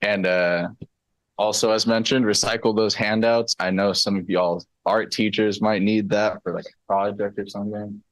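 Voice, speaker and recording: A man's voice: on the low side, flat delivery, moderate pace, no room sound, poor audio. A teenage boy giving a casual student presentation, loose and full of filler words. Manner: casual, lots of fillers, slangy.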